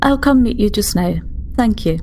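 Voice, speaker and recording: A woman playing a formal, newly promoted starship doctor in a tabletop game, polite and measured. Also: on the high side, expressive, darkish tone, a little hiss or hum. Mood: courteous, formal.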